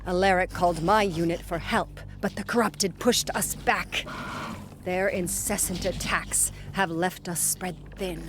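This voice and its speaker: A woman's voice: lowish voice, steady tone, a little slow, background noise, lightly acted. An actress playing an earnest, devout woman soldier in a fantasy game, speaking formally and with urgency. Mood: urgent. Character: earnest, devout female soldier leading a unit. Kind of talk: scripted fantasy game cutscene acting.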